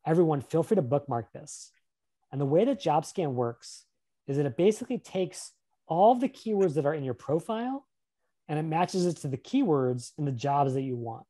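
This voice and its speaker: A man's voice: fairly high pitch, lively intonation, normal speed, mellow. A man presenting a career webinar over a video call, upbeat and encouraging, often putting questions to a volunteer. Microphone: webcam on a video call.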